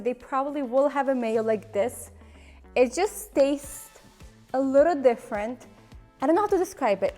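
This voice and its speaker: A woman's voice: sits high, darkish tone. A woman in her thirties from Ukraine with a Ukrainian accent, chattily hosting a cooking demonstration and laughing at her own slips. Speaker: cooking show host.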